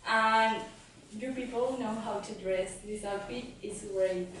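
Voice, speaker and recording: A woman's voice: mid-range voice, a little slow, a bit bright, slight background noise, natural voice. A woman playing a gracious ancient philosopher as a guest in a talk-show skit. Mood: delighted. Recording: echoey room, distant mic, background music.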